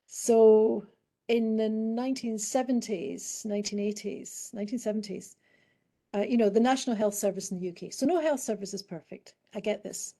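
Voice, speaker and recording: British lady, middle-aged, mellow warm voice, talks it through slowly with lots of restarts and ums. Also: medium pitch. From United Kingdom. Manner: thoughtful, halting, with many restarts and fillers. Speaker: guest expert in public health. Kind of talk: conversational panel answers.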